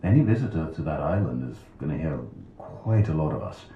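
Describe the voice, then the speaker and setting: Deep-voiced guy as a producer chatting, phone-like sound, roomy. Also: sing-song, fairly quick. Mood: matter-of-fact. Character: male audio-log producer at work. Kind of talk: casual natural dialogue. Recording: phone-like, echoey, faint ambient noise.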